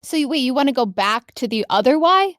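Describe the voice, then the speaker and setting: A woman's voice: sits high, lively intonation, brisk, dry recording, studio quality. A woman narrating a tabletop role-playing game as the game master, describing scenes to players.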